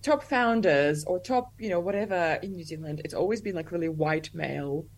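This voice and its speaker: Chatty young woman, low voice. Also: normal speed. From Russia. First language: Russian. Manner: chatty, many "like" fillers, laughs easily. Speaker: guest. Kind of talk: casual interview chat.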